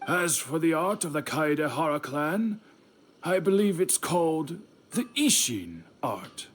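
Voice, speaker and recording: A man voicing a middle-aged uncle in a game, speaking in a measured, formal, courteous way. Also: a little high, big pitch swings. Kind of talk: game voice acting. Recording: game dialogue over faint music.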